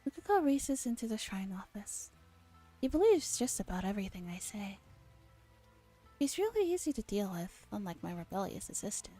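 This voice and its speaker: A woman livestreamer softly reading a visual novel aloud in ASMR style, acting out the dialogue expressively. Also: medium pitch, normal speed. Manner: expressive, acts out the dialogue lines. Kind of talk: soft ASMR read-aloud with translated dialogue. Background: game music.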